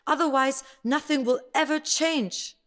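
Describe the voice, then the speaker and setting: A woman's voice: high voice, measured pace, fairly bright tone. A woman voicing an outspoken East German dissident who argues insistently, in a scripted motion comic.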